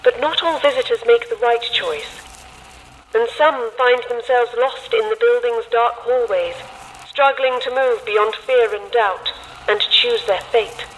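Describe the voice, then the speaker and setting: A woman's voice: fairly high pitch, unhurried, natural voice, rough recording. A woman playing a grave, mysterious storyteller, giving scripted dramatic narration of an eerie tale. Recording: faint noise, live theatre stage.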